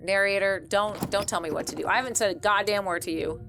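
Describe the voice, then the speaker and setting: Woman, gaming streamer, casual commentary, medium pitch, informal, sweary, thinking aloud, clear music in background. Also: fairly even intonation, quick pace.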